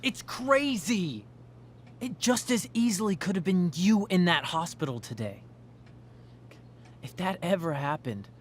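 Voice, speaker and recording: Intense teenage boy with wildly swinging pitch. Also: big pitch swings, unhurried, pauses often, slight background noise. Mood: accusing. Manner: insistent, earnest, intense. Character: possessive, obsessive, self-righteous teenage boy. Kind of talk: dramatic video game voice acting.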